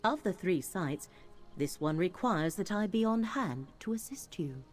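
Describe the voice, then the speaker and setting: A woman acting a solemn, mystical companion in a fantasy video game, speaking in a formal, measured, cryptic way. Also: lowish voice, animated, slight background noise. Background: game music.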